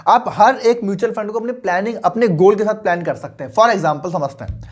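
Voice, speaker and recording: A man's voice: high-pitched, a little fast. Persuasive male finance coach. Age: 20s. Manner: persuasive, mixes English into Hindi, asks the listener questions. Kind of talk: motivational finance lesson.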